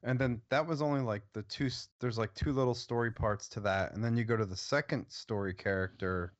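A man co-hosting a casual podcast chat, rambling through his story with pauses and restarts. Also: mid-range voice, steady tone, muffled recording. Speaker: podcast co-host.

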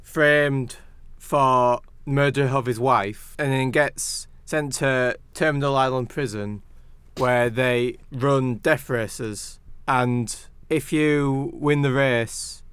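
Slow talking guy, crisp smooth voice, dry sound, casual podcast co-host. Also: medium pitch, steady tone, a bit bright, no room sound. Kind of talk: casual film discussion.

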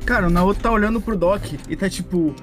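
Fast-talking Brazilian man in game chat. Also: sits high, music in the background. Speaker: tabletop role-playing player.

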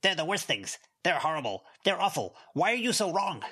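A little storybook goblin, as a man puts him on, yappy and scornful. The voice is pitched up and full of indignant swings. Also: sing-song, brisk, sharp tone, slightly rough, full character voice. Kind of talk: audiobook character dialogue.

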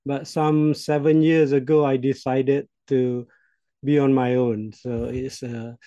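A man in his sixties from Malaysia, whose first language is Malay, talking about his work as an executive coach in a measured, halting way with many fillers and restarts. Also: medium pitch, level tone, warm tone, dry recording, muffled recording. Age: 60s. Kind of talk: interview guest, reflective storytelling.

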